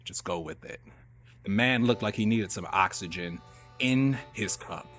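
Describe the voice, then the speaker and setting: A man's voice: lowish voice, unhurried, fairly bright tone, faint music behind. A man hosting a radio show live, talking in a theatrical, emphatic, playful and slangy improvised monologue. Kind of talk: animated improvised monologue and storytelling to a live audience.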